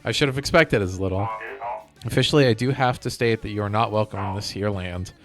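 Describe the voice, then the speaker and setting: A man's voice: lowish voice, brisk, close to an everyday voice. A man voicing a stubborn corporate robot land developer from a video game, with a drawling, folksy, self-important manner, over music. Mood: stern, warning. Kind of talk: reading video game villain dialogue aloud.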